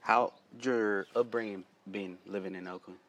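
A man's voice: lowish voice, steady tone, moderate pace, fairly bright tone. A man hosting a casual interview outdoors, friendly and loose, often repeating words as he starts questions. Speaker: interviewer, host.

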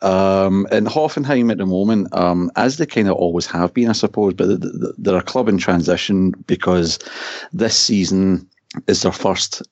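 A man's voice: sits low, moderate pace, a little mellow, no room sound. A Scottish man hosting a podcast in casual chat, hesitant with frequent fillers. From Scotland. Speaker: podcast host. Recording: dull lo-fi sound.

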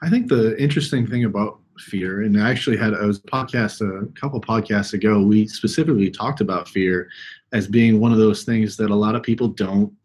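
A man in his thirties with a husky voice hosting a conversational podcast interview, making his points emphatically and restating them. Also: fairly low pitch, fairly quick, darkish tone. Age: 30s. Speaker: podcast host.

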